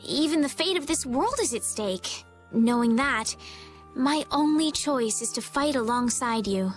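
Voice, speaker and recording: A woman voicing a gentle, determined and earnest young heroine in a video game. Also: sits high, animated, a little slow, faint music behind. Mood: earnest, pleading.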